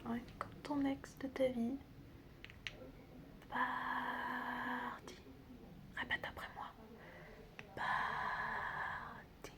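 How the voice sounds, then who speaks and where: A woman doing an ASMR roleplay in a breathy whisper mixed with soft speech, teasing and chatty as she addresses the listener like a close friend. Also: fairly high pitch. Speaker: ASMR creator role-playing as a doctor and best friend. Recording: clear background noise with clicking sounds.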